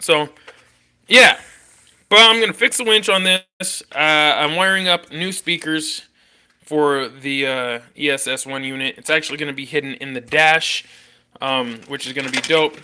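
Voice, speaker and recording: A congested man casually chatting on a livestream as host, showing his workshop and rambling in a relaxed way with slang. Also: medium pitch, smooth. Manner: rambling, laid-back, with fillers and slang. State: congested and coughing. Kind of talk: casual livestream chat while showing a build. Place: home workshop or garage.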